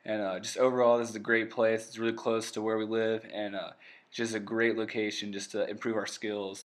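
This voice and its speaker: Young man, 20s, steady flat intonation, earnest co-host speaking to viewers. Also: on the low side, a little fast. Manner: earnest, upbeat, addresses viewers as guys. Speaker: channel co-host. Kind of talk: casual voice-over narration.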